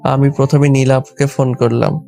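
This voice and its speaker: A man's voice: medium pitch, level tone, normal speed, creaky, mellow. A man reading a scripted first-person story as its narrator and lead character. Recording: background music under the voice. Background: music.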